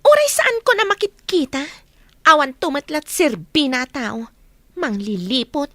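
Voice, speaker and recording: A woman's voice: high voice, sing-song, measured pace, fairly bright tone, dry recording, full character voice. A woman playing a smug, bitter pregnant mistress in an Ilocano radio drama.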